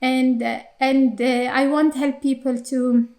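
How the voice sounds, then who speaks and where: High-voiced woman with an Arabic accent. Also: flat delivery, takes it slowly, a little mellow. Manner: hesitant, many fillers and restarts. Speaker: interviewee, company employee. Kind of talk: casual interview answers.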